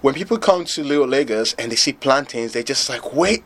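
A man from Nigeria, founder of a pop-up restaurant, talking in a relaxed, loose way as a guest in an interview. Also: medium pitch, brisk. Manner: relaxed, loose, filler-heavy talk with laughs. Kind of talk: casual interview chat.

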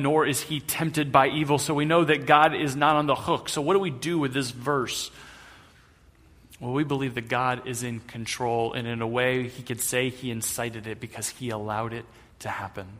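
A man's voice: medium pitch, fairly even intonation, moderate pace, a bit bright. A man preaching a sermon as pastor in a conversational way with light humor, in a church sanctuary, with a long hall reverb on the recording.

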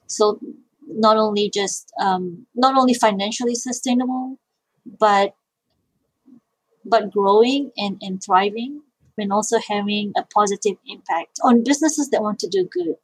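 A woman's voice: mid-range voice, a little slow, breathy edge, dry recording, poor audio. A middle-aged woman from Malaysia, answering interview questions thoughtfully, often repeating words as she restarts. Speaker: guest, social enterprise founder and IP lawyer.

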